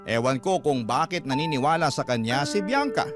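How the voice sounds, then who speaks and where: A man's voice: medium pitch, lively intonation, moderate pace, music in the background, close to an everyday voice. A man with a Filipino accent narrating an aggrieved letter writer's story for a radio drama. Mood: exasperated.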